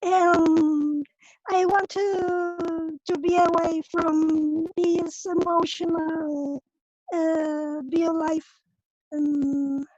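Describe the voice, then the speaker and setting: Very high-voiced, slow non-native woman. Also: level tone, a little mellow, dry recording. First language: not English. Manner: hesitant, searching for words. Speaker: book club participant. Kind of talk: halting discussion in a second language. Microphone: video call. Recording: dull video call audio.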